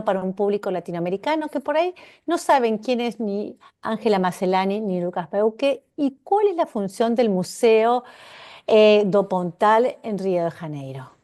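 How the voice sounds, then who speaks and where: A woman, hosting and introducing an interview in a measured way, with a few hesitant fillers. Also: a little high, expressive, dark tone. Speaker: interview host.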